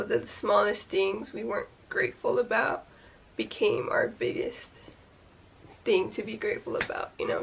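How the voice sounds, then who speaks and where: A woman's voice: medium pitch, creaky, echoey room, a little hiss or hum, low-quality recording. A woman in her twenties talking casually to the camera in a yearly check-in video, chatty and a bit hesitant, with frequent ums. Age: 20s. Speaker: YouTuber.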